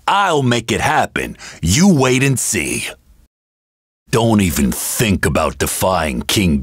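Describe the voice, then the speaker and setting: Bullying game captain, rough raspy growl, slow menacing swagger, wildly swinging pitch. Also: male voice, dry recording, clean studio sound, heavily acted. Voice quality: gravelly, rough. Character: ambitious brutish axe-wielding male captain, bullying and ruthless. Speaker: video game voice actor. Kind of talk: video game character voice clips.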